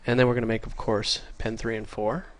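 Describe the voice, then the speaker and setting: A man with a congested voice from a cold, casually talking through a programming tutorial and getting excited over small things. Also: on the low side, a little fast, slight background noise. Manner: casual, easily excited, chatty. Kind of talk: screen-recorded coding tutorial. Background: faint keyboard typing.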